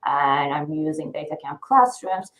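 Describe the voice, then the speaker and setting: A woman's voice: sits low, expressive, a little slow, a bit bright. A woman in her thirties from Turkey, a university professor presenting her teaching experience in a webinar, with frequent filler sounds. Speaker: guest speaker, assistant professor.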